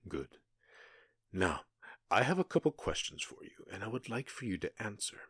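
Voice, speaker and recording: Casting: male actor for menacing gang boss in ASMR roleplay, deep-voiced, taunting and falsely polite, very close dry recording. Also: big pitch swings, a bit bright, slightly rough, no room sound, exaggerated voice. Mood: coldly threatening. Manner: mock-polite, taunting, deliberate pauses.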